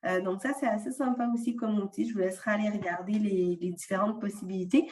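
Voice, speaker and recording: A woman's voice: mid-range voice, steady tone, fast, a little mellow, roomy sound, recorded from a distance. A woman with a slight non-French francophone accent presenting an online workshop on tools for freelancers, explaining with frequent fillers.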